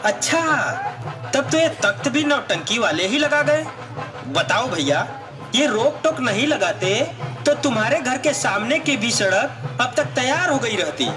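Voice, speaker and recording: A man's voice: extremely high pitch, animated, unhurried, over music. A man voicing a cheeky younger villager in a puppet show, teasing and sarcastic.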